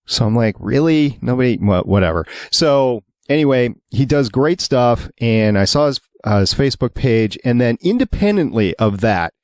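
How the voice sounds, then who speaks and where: A man's voice: mid-range voice, lively intonation, normal speed, few pauses, a little mellow, no echo. Enthusiastic man hosting, dry sound. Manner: enthusiastic, chatty. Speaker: podcast host. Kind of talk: casual fan podcast chat.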